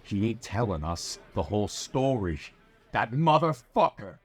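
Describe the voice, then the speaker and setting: A man playing a gruff, urgent police detective in an audio drama, with blunt delivery. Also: on the low side, sing-song, unhurried.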